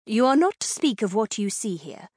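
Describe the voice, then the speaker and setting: A woman's voice: sits high, big pitch swings, moderate pace, dry recording, clean studio sound, voice pushed up. A woman voicing a stern, protective royal lady in an audiobook, commanding and emphatic in manner. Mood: stern, urgent.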